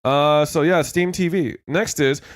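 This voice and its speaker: A man's voice: on the high side, measured pace, no room sound, studio quality. A young man co-hosting a casual gaming podcast, sounding congested and rambling with fillers and swearing. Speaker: podcast co-host.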